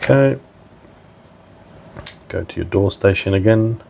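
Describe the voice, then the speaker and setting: A man's voice: fairly low pitch, unhurried, creaky, mellow, slight background noise. A man giving a casual, plain-spoken step-by-step technical demonstration as a tutorial presenter.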